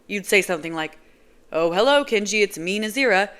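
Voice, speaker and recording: A woman voicing a hot-tempered young man who shouts in exasperation. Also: medium pitch, lively intonation, fairly quick, clean tone, lightly acted. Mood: furious.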